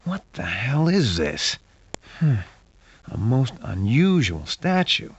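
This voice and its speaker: A man's voice: mid-range voice, big pitch swings, slow pace. A man playing a wry hard-boiled detective who narrates a sci-fi adventure game in a dry, dramatic, scripted noir style. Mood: wry, musing. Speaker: game narrator and lead character. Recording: muffled lo-fi game audio with hiss.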